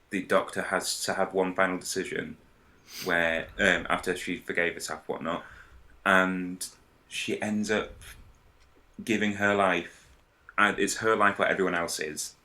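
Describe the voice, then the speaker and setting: A man in a casual podcast discussion, explaining his ideas in a hesitant, halting way. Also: low voice, sharp tone. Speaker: podcast panellist.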